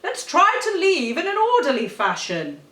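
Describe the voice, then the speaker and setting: Sensible, bossy male dog for a storybook played by a woman, urgent high calls, swooping, distant mic. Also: wildly swooping pitch, normal speed, exaggerated voice. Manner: loud, urgent, commanding. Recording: roomy, echoey, distant microphone.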